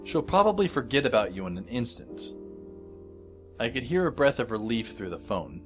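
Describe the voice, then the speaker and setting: An actor playing a dry, sardonic teenage schoolboy who narrates an audio drama in the first person. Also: male voice, medium pitch, sing-song, moderate pace, lightly acted. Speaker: narrator and lead character. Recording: music under the voice.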